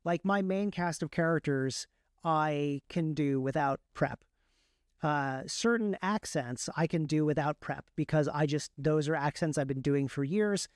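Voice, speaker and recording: A man's voice: fairly high pitch, a little slow, clean tone, no room sound, studio quality. A man speaking casually as an interview guest, explaining his craft and often repeating words mid-sentence. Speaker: guest, author and audiobook narrator.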